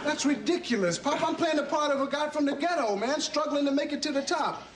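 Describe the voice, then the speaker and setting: Exasperated high-voiced sitcom son. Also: male voice, brisk. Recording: echoey, slightly noisy stage.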